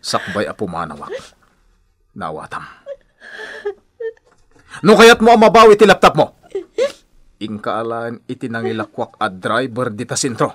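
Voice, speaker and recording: A man's voice: mid-range voice, big pitch swings, measured pace, long pauses. A Filipino man playing a taunting, drunken, abusive husband in a radio drama. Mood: threatening. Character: menacing, drunken abusive husband, mocking and volatile. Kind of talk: Ilocano radio drama acting.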